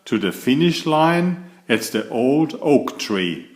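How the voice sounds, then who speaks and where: A man's voice: medium pitch, very animated, slow. A man voicing a commanding adult bear preacher who announces things in a storybook. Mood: announcing. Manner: announcing, authoritative. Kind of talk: storybook character voice.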